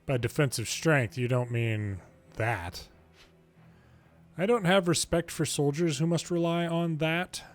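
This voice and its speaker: A man's voice: fairly high pitch, a little slow, close to an everyday voice. Man playing a cold, proud noble commander. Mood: cold disdain. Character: cold, proud noble army commander who disdains his soldiers.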